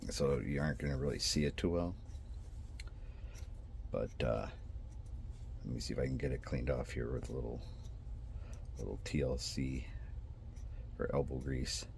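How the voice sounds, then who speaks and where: A man's voice: a bass voice, moderate pace, vocal fry, long pauses, darkish tone, slight background noise. A man with a congested, nasal voice and a twang rambles in a hobby vlog about building scale models, breaking off, apologizing and restarting his sentences. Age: adult with a son. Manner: meandering, self-interrupting, apologetic. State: congested from sinus trouble.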